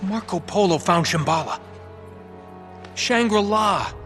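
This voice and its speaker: An actor playing a cocky, wisecracking American treasure hunter in a video game cutscene, with a wry, sarcastic manner. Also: male voice, high voice, animated, takes it slowly. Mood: excited. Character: cocky, wisecracking, improvising male treasure-hunting adventurer. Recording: loud music behind the voice.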